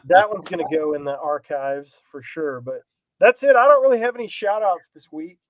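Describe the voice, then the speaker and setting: High-pitched man with a cold. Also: animated, moderate pace, no room sound, dull audio. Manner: laid-back, loose delivery with fillers. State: has a cold. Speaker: podcast host. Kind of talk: casual chat.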